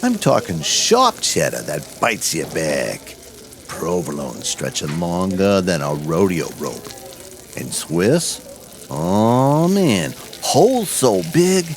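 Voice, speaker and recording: A loud, jokey diner cook, played by a man who whoops and hollers through a folksy food pitch. His voice sits low but swings widely up and down. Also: slight background noise, full character voice.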